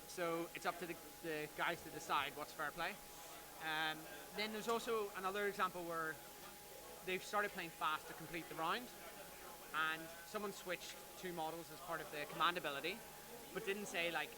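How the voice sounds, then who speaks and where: Higher-voiced man over crowd noise. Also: poor audio. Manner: measured, explanatory. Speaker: event organizer. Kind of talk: explaining in casual talk. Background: crowd chatter.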